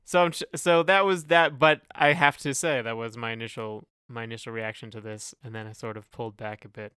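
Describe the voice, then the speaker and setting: A man's voice: mid-range voice, steady tone, fairly quick, sharp tone, clean tone, dry recording, studio quality. A man co-hosting a podcast in casual discussion, hedging and thinking aloud as he goes. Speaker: podcast co-host. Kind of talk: casual discussion of song lyrics.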